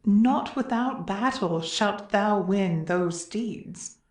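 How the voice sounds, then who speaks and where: A solemn chorus member of Greek tragedy played by a woman declaims verse slowly and earnestly. An anxious weight hangs on the words, and the room echoes. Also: medium pitch. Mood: grave, questioning. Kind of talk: classical verse drama reading.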